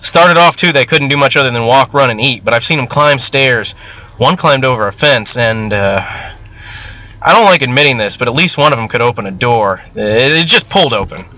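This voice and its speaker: A man's voice: mid-range voice, lively intonation, brisk, sharp tone, rough recording. A man voicing an earnest, candid young medical student and survivor in an audiobook story, speaking a little hesitantly. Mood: uneasy, candid.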